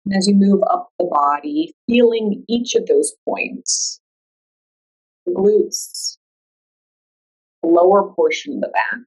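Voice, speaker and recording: A woman guiding a meditation in a calm, soothing, unhurried way, leaving long pauses between instructions. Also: mid-range voice, poor audio. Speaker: yoga nidra meditation guide. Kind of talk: guided meditation.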